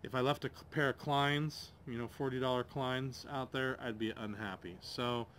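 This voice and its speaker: A man's voice: medium pitch, fairly even intonation, normal speed, fairly bright tone, a little hiss or hum. A man in his forties reviewing tools at an outdoor table, thinking aloud with plenty of hesitations. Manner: rambling, hesitant, thinking aloud with many ums. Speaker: DIY channel host. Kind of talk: casual tool review.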